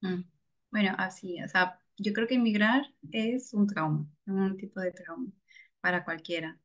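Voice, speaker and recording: A woman's voice: medium pitch, steady tone, measured pace. A young woman with a Venezuelan accent, a guest on an interview, answering reflectively with small laughs and many "bueno"s. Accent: Venezuelan Spanish. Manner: reflective, frequent soft laughs, fillers like "bueno". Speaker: guest, data scientist. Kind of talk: casual interview.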